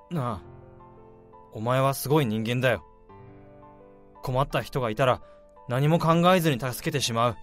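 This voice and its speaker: Man voicing an earnest forgiving coworker, mid pitch, expressive range, violin music faintly behind. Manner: earnest, heartfelt. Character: forgiving, admiring male coworker. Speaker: lead's coworker. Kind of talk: radio drama acting.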